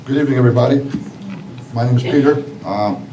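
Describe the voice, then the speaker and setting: A man's voice: mid-range voice, quick pace. A middle-aged man from Greece, an electrician, giving a plain, emphatic public comment at a city meeting. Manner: plain, emphatic, repeating key words. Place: council meeting room. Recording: echoey hall, distant mic, faint noise.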